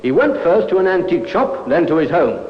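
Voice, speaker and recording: Echoey police sergeant. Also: male voice, on the high side, very animated, brisk. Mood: dutiful, matter-of-fact. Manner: clipped, respectful, official. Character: dutiful, matter-of-fact male Scotland Yard police sergeant. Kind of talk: 1950s radio drama acting. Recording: echoey, muffled old radio recording.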